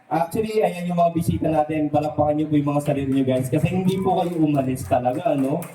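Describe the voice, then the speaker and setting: A man's voice: fairly high pitch, fast talker, warm tone, a little hiss or hum. A man working as a ventriloquist host, giving playful, coaxing live comedy patter to an audience. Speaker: ventriloquist entertainer hosting a birthday party show. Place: party venue with guests. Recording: echoey room, distant mic, faint music.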